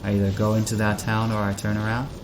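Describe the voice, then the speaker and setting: A man acting in an audio drama as a lonely, heartsick driver lost at night, speaking in a halting, questioning way. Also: lowish voice, monotone, background noise, lightly acted. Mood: anxious, resigned.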